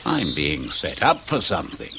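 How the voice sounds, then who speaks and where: A man's voice: extremely low pitch, wildly swooping pitch, normal speed, creaky, noisy background, heavily acted. An actor playing a wary, bitter male agent under debriefing in a radio drama, curt and sardonic. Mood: defensive.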